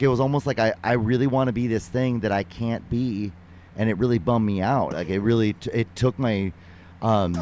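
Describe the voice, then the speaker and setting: A man from Minnesota, a musician guest, speaking modestly and haltingly with lots of restarts. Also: on the low side, a little mellow, a little hiss or hum. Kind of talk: casual interview talk.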